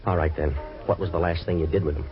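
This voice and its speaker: An American actor playing a tough, wisecracking waterfront troubleshooter in a 1940s radio noir, with clipped deadpan delivery. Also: male voice, low-pitched, fast talker, natural voice. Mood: tough, sardonic. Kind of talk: 1940s radio noir acting and first-person narration. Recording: dull old radio recording, faint music.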